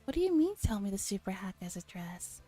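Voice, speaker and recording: Female game streamer, relaxed mellow tone, playful dialogue read-aloud for ASMR, faint music behind. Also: mid-range voice, brisk. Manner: playful, acts out dialogue while reading. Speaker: streamer reading a visual novel aloud.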